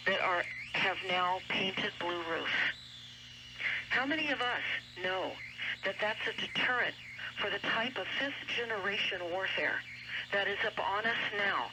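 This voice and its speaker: Insistent woman over static-laden shortwave radio. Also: mid-range voice. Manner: emphatic, insistent, deliberate. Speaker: radio show guest commentator. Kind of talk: broadcast talk, earnest explanatory monologue. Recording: noisy shortwave radio broadcast, heavy static. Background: loud radio static and noise.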